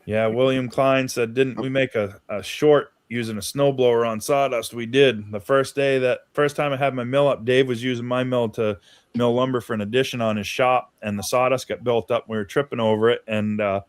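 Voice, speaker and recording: A man's voice: medium pitch, steady tone, brisk, clean tone, dry recording. A man from Maine telling stories in a casual livestream chat, easygoing and folksy. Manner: easygoing storyteller, folksy asides. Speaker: guest.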